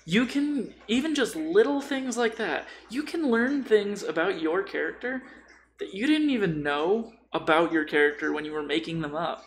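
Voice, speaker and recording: A man with a creaky, gravelly voice chats casually, as on a podcast. He tells stories in an animated, blunt, sweary way, pitched a little high. There is faint noise behind him. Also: normal speed. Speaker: podcast host or guest discussing tabletop games. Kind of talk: casual chat.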